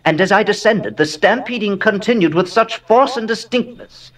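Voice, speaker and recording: An actor playing a haunted, aristocratic heir who recounts Gothic horror in a theatrical, deliberate way with dramatic pauses. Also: male voice, high voice, animated, a bit bright, distant mic. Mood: alarmed, horrified. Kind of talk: dramatic horror narration, audio drama monologue.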